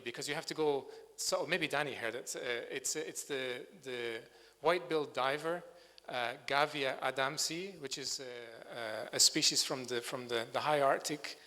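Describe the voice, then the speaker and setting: A man's voice: medium pitch, pushed and bright. Hesitant man in a reverberant hall. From Scotland. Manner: hesitant, searching for words. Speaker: bird sound recordist giving a talk. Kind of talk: conference presentation. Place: lecture hall.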